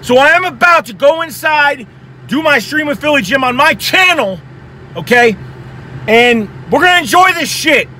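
Hoarse, high-pitched ranting young man. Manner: excited, profane, rapid-fire ranting. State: hoarse from yelling. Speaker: sports fan vlogger. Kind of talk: heated post-game fan rant. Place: inside a car at night.

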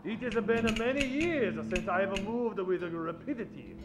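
A man's voice: high voice, moderate pace, music in the background, lightly acted. A man playing a formal, inquisitive Chinese detective general in an old-time radio play, speaking in polite, stilted proverbs. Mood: probing, courteous. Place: live theater stage. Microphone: shared vintage-style stand microphone.

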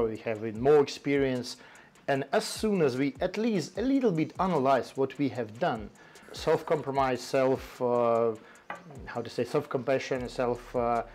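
A man in his forties with a Russian accent, speaking English as a second language in a halting, casual conversation on an outdoor balcony. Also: medium pitch, a little mellow. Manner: halting, restarts often, non-native grammar. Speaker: tea company host. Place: covered balcony outdoors, forest behind.

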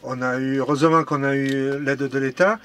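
A man's voice: mid-range voice, steady tone, moderate pace, fairly bright tone. A middle-aged man giving hesitant, casual interview answers in a small indoor storeroom. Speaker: ski rental shopkeeper. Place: narrow indoor storeroom of ski boots. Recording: faint room noise.

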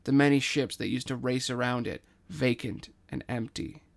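A man narrates a scripted story, reading out scene directions. His voice is mid-pitched with noticeable fry. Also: normal speed.

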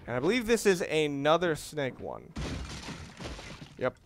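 A man with a slightly high voice hosts a gaming video in a casual way. His delivery is lively in pitch and halting, as he circles back to rephrase what he says. Clear background noise sits under the voice. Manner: rambling, halting, repeats and rephrases himself. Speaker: gaming video host, player. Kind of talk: casual let's-play commentary.

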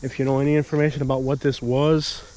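A man in his thirties vlogging casually outdoors, chatting and thinking out loud with lots of fillers, filmed on a handheld camera. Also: medium pitch, level tone, quick pace, a little hiss or hum. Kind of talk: casual walking vlog. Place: outdoors at an empty lot, open air. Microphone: handheld action camera at arm's length.

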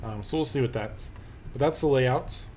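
Man, let's play commentary, mid-pitched voice, relaxed rambling, faint hiss behind. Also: moderate pace. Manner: relaxed, rambling, thinks aloud with many ums. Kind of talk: casual game commentary. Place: at a computer, voice-over of gameplay.

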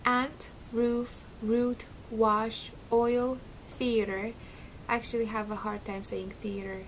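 A woman's voice: fairly high pitch, little pitch movement, slow pace, a little hiss or hum, poor audio. A young woman with a slight Arabic accent, chatting casually and giggling to camera from her bedroom, with plenty of ums. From Syria. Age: young adult. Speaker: beauty vlogger. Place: small bedroom at home, indoors. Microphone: close webcam, seated facing camera.

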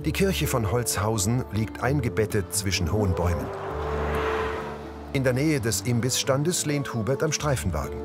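A man's voice: medium pitch, expressive, normal speed, loud music behind the voice. A man reading scripted audio description that narrates on-screen action.

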